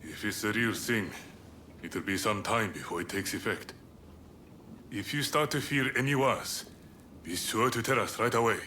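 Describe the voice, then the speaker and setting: Sober samurai for game drama, bright firm tone, faint background noise. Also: male voice, on the low side, normal speed, long pauses. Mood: concerned. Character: steady, sober samurai comrade from a medicine-trading family. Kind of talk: restrained video game cutscene acting.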